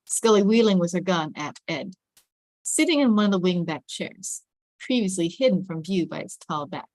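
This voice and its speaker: A woman's voice: on the low side, lively intonation, normal speed, a little mellow, no echo, low-quality recording. A woman reading stage directions as the narrator of a scripted drama table read.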